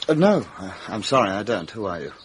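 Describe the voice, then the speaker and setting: An actor playing a diffident, flustered young English gentleman in a radio drama, halting and hesitant. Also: on the low side, sing-song, slight background noise. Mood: puzzled. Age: young adult.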